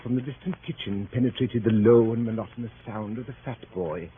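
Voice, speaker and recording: An actor as a gentleman narrator, telling a story in formal 1930s radio-drama style. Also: on the low side, brisk, a little hiss or hum, close to an everyday voice. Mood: measured. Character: gentleman narrator recounting country events. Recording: tinny 1930s radio recording.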